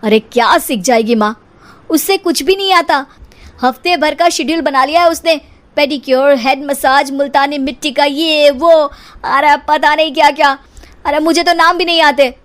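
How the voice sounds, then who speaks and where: Cartoon son, voiced by a woman: young married man, high voice, weary, full of complaints. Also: moderate pace, a bit bright. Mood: exasperated.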